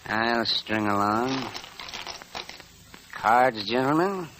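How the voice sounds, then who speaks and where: A slick card sharp in an old radio Western, sly and falsely courteous. His pitch moves freely, and the old recording has a faint hiss. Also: animated, very slow, poor audio. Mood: cool. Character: slick, cool crooked gambler in a Western saloon. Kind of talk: 1940s radio western acting.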